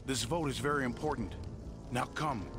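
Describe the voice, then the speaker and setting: A man playing a steady, dutiful protector in a video game, with a firm manner. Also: medium pitch, moderate pace, very noisy, natural voice. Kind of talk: video game cutscene acting.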